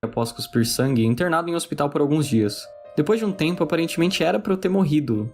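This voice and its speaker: Brazilian male narrator, rapid scripted essay delivery, faint music underneath. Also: fairly high pitch, animated.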